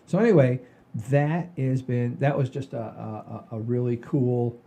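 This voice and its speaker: An older man hosting a podcast, telling stories in a folksy, rambling, animated way with a husky voice. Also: medium pitch, unhurried. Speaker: podcast host. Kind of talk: casual chat and storytelling.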